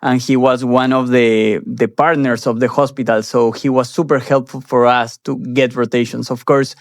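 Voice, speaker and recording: A young man from Peru speaks English in an earnest, measured way, now and then restarting a phrase. His pitch sits in the middle of the male range. The sound is dry and close. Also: steady tone, no room sound. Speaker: guest, surgery resident. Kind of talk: interview answers.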